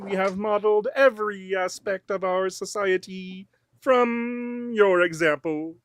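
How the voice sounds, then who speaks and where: A woman's voice: medium pitch, dry recording, lightly acted. A woman playing an earnest, courteous male alien leader in a radio theatre read, speaking formally and ceremoniously.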